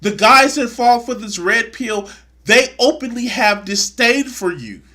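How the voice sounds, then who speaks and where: A man's voice: extremely high pitch, measured pace, bright, forceful tone. A man streaming a reaction video, talking with indignant, profane sarcasm. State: congested. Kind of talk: casual reaction commentary.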